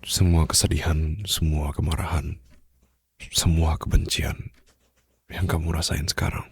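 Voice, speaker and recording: Bos mafia bersuara sangat berat dan serak, diperankan seorang pria. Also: male voice, extremely low pitch, little pitch movement, exaggerated voice. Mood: gentle, consoling. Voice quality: breathy, husky, gravelly. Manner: slow, hushed, formal and soothing, drifting into whispers. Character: brooding young male mafia boss, commanding yet tenderly protective. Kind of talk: ASMR roleplay acting, intimate monologue. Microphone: close studio microphone, dry.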